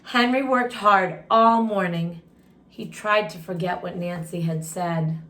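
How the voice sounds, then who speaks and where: Woman, story time host, expressive children's read-aloud with asides to listeners, leisurely tempo, audible room echo. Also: on the low side. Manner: expressive, pauses to ask listeners questions and react. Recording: roomy, echoing room sound.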